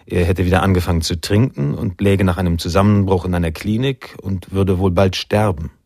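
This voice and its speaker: A man narrating a literary radio drama in a measured, sombre manner, with a gravelly, husky voice. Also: deep voice, a little mellow, no room sound, studio quality.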